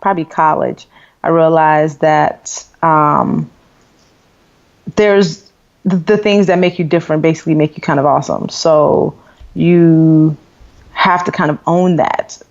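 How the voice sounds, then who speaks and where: A woman's voice: low-pitched, fairly even intonation, a little slow. A woman in her thirties, born in Nigeria, talking candidly as an interview guest with frequent fillers. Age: 30s. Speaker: guest author and blogger. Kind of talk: interview conversation. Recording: dull lo-fi sound.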